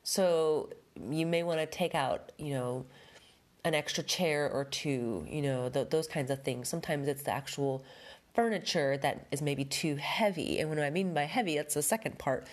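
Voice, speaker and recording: A woman's voice: deep voice, normal speed. A middle-aged woman hosting a home decorating podcast, chatting casually with lots of fillers while stuffed up from a head cold. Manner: chatty, rambling, many fillers. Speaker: podcast host, home stager. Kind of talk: casual solo podcast talk.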